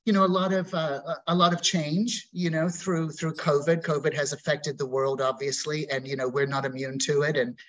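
A man's voice: on the high side, fairly even intonation, normal speed, few pauses, fairly bright tone. A man in his forties from Bermuda, a real estate agent talking as a guest on a video call interview, upbeat and effusive with many fillers. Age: 40s. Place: indoor room at home. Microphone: video call webcam.

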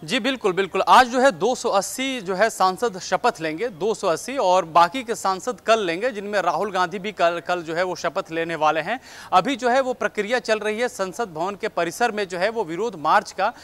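A man in his thirties reporting live outdoors with a handheld mic, talking quickly and leaning on filler phrases. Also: high-pitched, a bit bright. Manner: fast, filler-heavy reporting with repeated "jo hai". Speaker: field reporter. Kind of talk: live news report from outside. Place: outdoors outside a parliament building.

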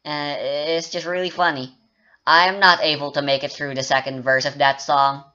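A man's voice: a little high, steady tone, normal speed, bright, forceful tone, clear, resonant tone, muffled recording. A man rambling casually and joking at his own expense during a game development update. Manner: rambling, self-mocking, joking about his voice. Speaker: indie game developer and YouTuber.

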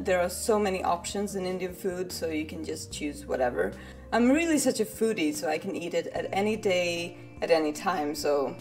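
A young woman talks to a webcam at her desk, soft-spoken and a little hesitant. Her voice sits at an ordinary middle pitch. Faint music plays quietly behind her. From Sweden. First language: Swedish. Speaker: vlogger. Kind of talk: casual talk to camera. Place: living room indoors.

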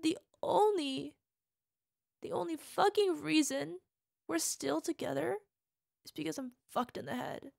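A woman's voice: sits high, expressive, measured pace, creaky, long pauses, no room sound, studio quality, heavily acted. A woman playing a volatile, bitter girlfriend. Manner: hushed whispers that flare into swearing shouts. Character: bitter, volatile young woman tearing into her partner. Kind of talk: audio roleplay monologue.

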